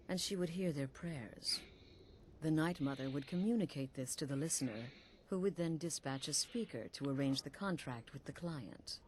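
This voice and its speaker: A woman voicing a cool-headed assassin leader, briefing a recruit in a calm, dry, matter-of-fact way. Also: extremely low pitch, a little hiss or hum. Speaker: assassin guild leader giving orders. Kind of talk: video game character dialogue.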